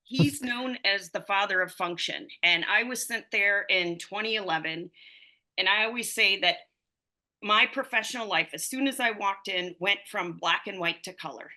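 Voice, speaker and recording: Bright-voiced woman in her fifties. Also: mid-range voice, normal speed, rough recording. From United States. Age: 50s. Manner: rambling storytelling with many fillers. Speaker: running coach and physical therapist. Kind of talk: conversational podcast interview.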